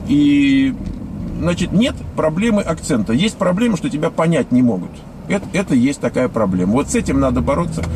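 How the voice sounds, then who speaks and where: A man's voice: fairly high pitch, creaky. A man in his fifties from the Soviet Union with a Russian accent, giving a blunt, scornful monologue to viewers, full of hesitations. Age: 50s. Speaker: vlogger. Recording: loud outdoor traffic noise.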